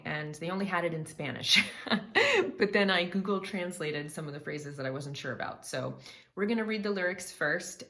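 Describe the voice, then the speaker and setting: A woman's voice: low voice, bright, forceful tone. A woman vocal coach talking casually to her viewers, chatty, explaining song lyrics line by line. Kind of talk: casual reaction vlog talk.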